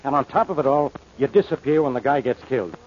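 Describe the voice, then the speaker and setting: Man playing police inspector, gruff, blustering, exasperated, 1940s-style radio detective acting, dull vintage recording, light hiss. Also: medium pitch, lively intonation, fast talker, a little mellow, close to an everyday voice. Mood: stern, threatening. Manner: blustering, barking orders, impatient. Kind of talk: old-time radio detective drama acting. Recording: muffled, noisy old radio broadcast recording.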